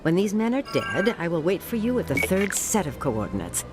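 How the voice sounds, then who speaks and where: An actor in a video game playing a refined, ruthless crime boss who speaks in a polished, formal, measured way, with music behind. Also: mid-range voice, big pitch swings, close to an everyday voice. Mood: smooth satisfaction.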